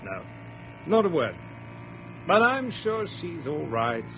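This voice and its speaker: An actor playing a devoted, resolute father with gentle humour in a radio drama. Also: a little high, wildly swooping pitch, takes it slowly, loud music behind the voice, exaggerated voice, low-quality recording. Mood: playful, gentle.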